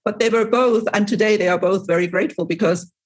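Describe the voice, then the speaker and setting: Middle-aged woman, interview host, warm and chatty, mid-range voice. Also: medium pitch, fast. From Switzerland. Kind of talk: casual interview chat.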